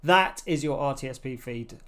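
A man's voice: mid-range voice, moderate pace, a bit bright, clear, resonant tone. A man in his forties presenting a casual, step-by-step tech tutorial.

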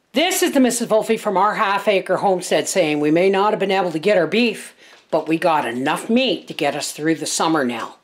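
An older woman, giving a casual, plain-spoken, folksy vlog narration in her home kitchen. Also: mid-range voice. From Canada. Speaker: homesteading vlogger and host.

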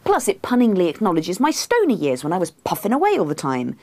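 A woman's voice: lowish voice, wildly swooping pitch, fast talker, mellow, dry recording, exaggerated voice. A woman voicing a needy, insistent wife, pleading and indignant, in a comic book reading. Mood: coaxing.

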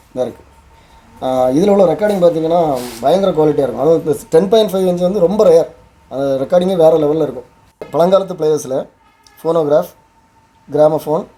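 Fast-talking man, soft dark tone, Indian Tamil. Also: on the high side. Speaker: host. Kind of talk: explanatory vlog presenting.

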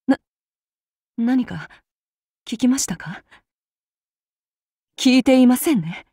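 A demon-blooded samurai lady for a video game, speaking with old-fashioned courtesy and a quiet menace underneath. Her pitch swings widely, and she drawls her words slowly. The recording is close and dry, with no room sound. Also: expressive, breathy edge, dry recording, heavily acted. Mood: menacing, courteous. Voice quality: soft, formal speech turning to wild shrieks in battle. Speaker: voice actress for a game character. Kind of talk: game character voice lines.

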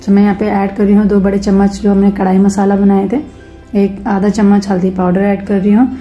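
A woman's voice: medium pitch, level tone, fairly quick, warm tone, highs cut off, faint music behind. A woman hosting her own cooking channel, talking through a recipe step by step in a casual voice-over and mixing English cooking terms into her sentences.

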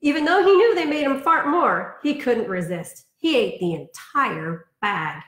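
A woman's voice: sits high, lively intonation, measured pace, a bit bright. A woman reading aloud for an elementary storytime, telling it expressively with chatty asides. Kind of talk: read-aloud storytime for children. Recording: roomy, echoing sound.

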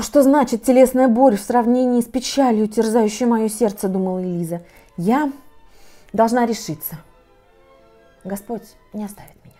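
A middle-aged woman reading a fairy tale aloud to children in a cozy studio, dramatic with wide swings in pitch and loudness. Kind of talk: expressive storybook reading for children. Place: cozy indoor studio set with armchair and fireplace.

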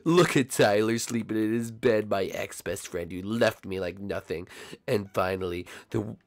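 A man's voice: on the low side, gravelly, pitched below the performer's own voice. A man playing a sneaky, vengeful thief in a cartoon-style skit, muttering menacingly and gloating to himself. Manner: slow, drawn-out, muttering menace.

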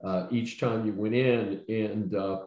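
A man's voice: fairly low pitch, fairly even intonation, takes it slowly, a little mellow. Older man hosting with a roomy echo. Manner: rambling, many "uh" fillers and restarts. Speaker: host. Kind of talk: casual panel discussion. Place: home study with bookshelves. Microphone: video call webcam.

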